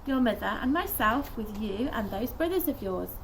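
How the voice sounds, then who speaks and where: A woman reading a storybook, voicing a calm, firm father with matter-of-fact, decisive delivery. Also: a little high, normal speed. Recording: noisy outdoor recording.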